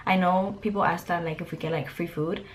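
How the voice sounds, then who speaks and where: Young woman, Mexican-born, Spanish first language; relaxed bedroom vlog chat with loose fillers, close to the camera. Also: lowish voice, a little fast. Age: young adult. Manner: chatty, many "like" fillers. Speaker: vlogger. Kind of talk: casual Q&A chat. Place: bedroom, indoors.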